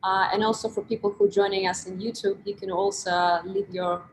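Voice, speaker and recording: A woman's voice: mid-range voice, flat delivery, a little fast. A woman moderates an online talk over a video call, upbeat as she switches between English and Russian. Her voice rings in an echoey room, with faint background noise underneath. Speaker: host and moderator.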